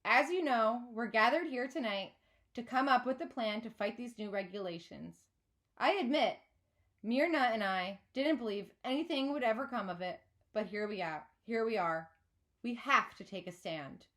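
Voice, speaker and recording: A woman's voice: a little high, expressive, moderate pace, fairly bright tone. A woman voicing an earnest woman food-truck vendor from a storybook, projecting as she rallies a meeting. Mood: earnest, rallying. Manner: projecting, speaking up to a room.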